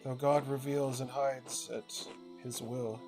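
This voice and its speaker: Devout cloistered nun played by a man, troubled visionary, contemplative and halting, music behind; for a historical adventure game. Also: medium pitch, level tone, lightly acted. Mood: solemn, pious. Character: devout, troubled woman mystic nun in a cell.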